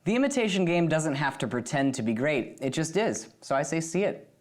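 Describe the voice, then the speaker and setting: Movie reviewer, medium pitch, analytical, thoughtful, conversational panel talk. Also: very animated, fairly quick, loud music behind the voice. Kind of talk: conversational film review discussion.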